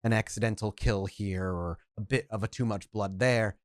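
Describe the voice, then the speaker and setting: A man's voice: on the low side, fairly even intonation, moderate pace, no room sound, studio quality. A man narrating as storyteller of a tabletop game, setting scenes in detail.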